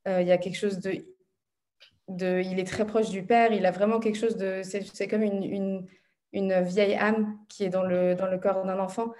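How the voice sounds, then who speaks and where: A woman's voice: mid-range voice, fairly even intonation, moderate pace, warm tone. A young woman in her twenties, a student interviewer, talking in a chatty way over a video call from her room at home. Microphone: video call laptop mic.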